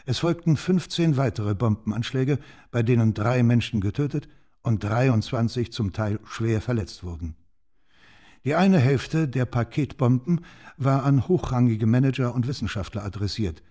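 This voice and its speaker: A man's voice: mid-range voice, animated, moderate pace, warm tone. A man reading scripted documentary narration in a sober, matter-of-fact manner.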